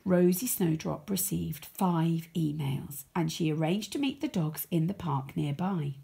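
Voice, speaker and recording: A woman reading a children's story aloud as a storyteller, expressive, with dramatic emphasis. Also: low-pitched, a little slow, vocal fry, slightly rough.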